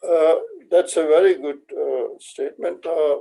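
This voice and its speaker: An older man with an Indian accent and Hindi as his first language speaks slowly and reflectively. His voice is mellow and creaky, and he pauses often in a measured, halting way as he recalls his past. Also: a little high, steady tone, low-quality recording. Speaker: interview guest. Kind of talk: reflective personal recollection.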